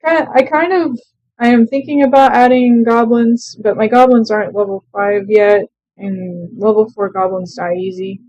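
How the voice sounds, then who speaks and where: A young woman casually commenting on her own strategy game play, chatty and quick to laugh at herself. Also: a little high, steady tone, a little mellow, no room sound, muffled recording. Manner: chatty, self-deprecating, laughs often. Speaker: let's play gamer.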